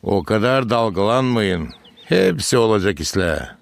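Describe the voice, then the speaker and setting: A man's voice: lowish voice, lively intonation, measured pace, gravelly. A man playing a kindly grown-up cartoon mentor who speaks reassuringly to youngsters. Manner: reassuring, addressing children. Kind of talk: animated cartoon voice acting.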